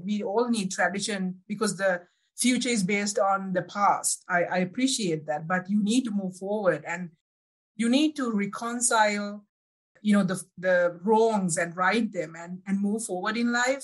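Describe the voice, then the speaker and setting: A woman from Sri Lanka with a Sri Lankan accent, speaking English as a second language, giving reflective, personal interview answers and often pausing to correct herself. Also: mid-range voice, poor audio. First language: not English (English is second language). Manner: halting, searching for words, self-correcting. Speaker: interview guest.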